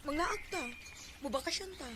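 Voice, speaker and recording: A woman's voice: high voice, normal speed, natural voice. A woman with a Cebuano Filipino accent acting a hurt, neglected young girlfriend in a radio drama. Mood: pleading. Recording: noisy radio drama recording.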